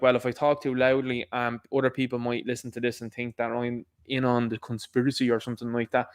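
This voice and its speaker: Irish man, host, casual monologue, animated, middling pitch, acts out others' lines. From Ireland. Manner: conversational and animated, mimicking others in asides.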